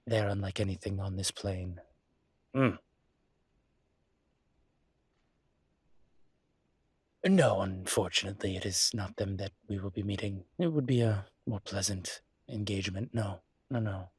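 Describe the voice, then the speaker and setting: A man voicing a refined, wary, ancient demon professor in an intimate audio roleplay, with husky, measured, halting, wry and formal delivery. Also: lowish voice, no echo. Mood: measured, explanatory.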